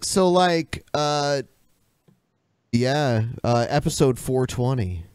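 A man's voice: medium pitch, expressive, slow pace, a little mellow, clean tone. A man co-hosting a podcast in casual conversation. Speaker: podcast co-host. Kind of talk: casual chat. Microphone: close podcast microphone on a video call.

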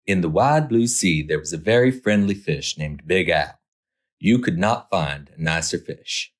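A man's voice: low-pitched, lively intonation, unhurried. A man narrating a children's picture book as a storytime host, expressively, drawing out key words for effect.

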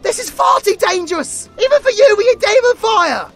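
A woman's voice: extremely high pitch, expressive, normal speed, faint music behind. A woman playing a hero's panicky sidekick in a horror audio drama, frantic, stammering and pleading.

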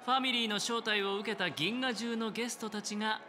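A formal hotel official in a Japanese game. His voice is high and sharp, with emphatic delivery. Faint music sits behind. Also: fairly even intonation, fairly quick. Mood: formal, urgent. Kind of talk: Japanese video game voice acting.

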